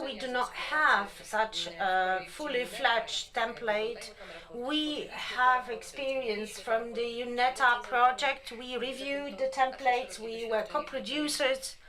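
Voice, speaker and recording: A woman speaking as a conference presenter, in formal administrative wording with a halting, measured delivery. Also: fairly high pitch, fairly bright tone, rough recording. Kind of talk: formal conference presentation on health policy procedures.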